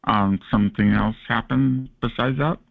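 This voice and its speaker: A man with a Californian accent hosting and moderating a call-in show, relaxed and full of fillers. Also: medium pitch, normal speed, vocal fry, no room sound. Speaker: host and moderator. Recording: phone-like conference call line.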